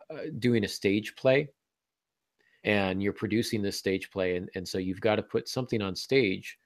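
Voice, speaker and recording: A man's voice: on the low side, no echo. A man hosting a casual panel discussion, explaining his points with examples and a dry, teasing humor. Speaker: podcast host and fiction writing teacher.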